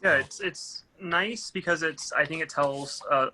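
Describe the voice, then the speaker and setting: A man's voice: on the high side, a little slow, pushed and bright, a little hiss or hum. A man giving his thoughts casually in a group discussion. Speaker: table read participant giving feedback.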